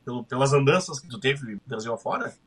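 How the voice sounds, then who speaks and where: A man's voice: medium pitch, expressive, brisk, slightly rough. A male interviewer, asking long, winding questions built around anecdotes.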